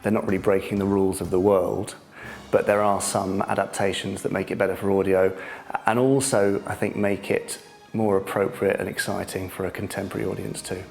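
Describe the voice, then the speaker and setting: A man explaining the series in a measured way in an interview. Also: deep voice, level tone, vocal fry, darkish tone, soft background music. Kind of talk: casual interview.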